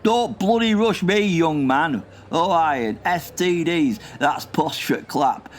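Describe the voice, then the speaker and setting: A man's voice: a little high, lively intonation, takes it slowly, slight background noise. A man playing a grumpy, rambling old war veteran who curses constantly. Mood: cantankerous. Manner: rambling, cursing, confused. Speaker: comic character.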